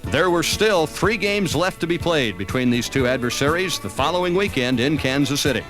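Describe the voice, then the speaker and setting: A man's voice: on the high side, expressive, moderate pace. An American man reading scripted narration for a baseball season recap. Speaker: documentary narrator. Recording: music under the voice. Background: background music.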